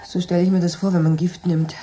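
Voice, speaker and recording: An actress playing a theatrical middle-aged dinner guest given to ominous pronouncements, in a radio play. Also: female voice, lowish voice, flat delivery, fast, mellow, slight background noise, natural voice.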